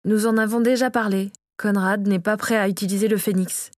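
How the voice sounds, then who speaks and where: A woman's voice: medium pitch, fairly even intonation, brisk, dry recording, studio quality, close to an everyday voice. Woman voicing a stern, protective father. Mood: firm. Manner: firm, defensive, indignant.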